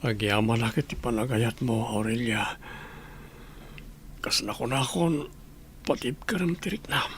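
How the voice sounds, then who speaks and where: Man acting a groaning, gravelly sick husband. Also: mid-range voice, a little hiss or hum, close to an everyday voice. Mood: weak, remorseful. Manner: groaning, anguished, drawn-out. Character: sick, regretful husband with a drinking past, groaning in pain. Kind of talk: Ilocano radio drama acting.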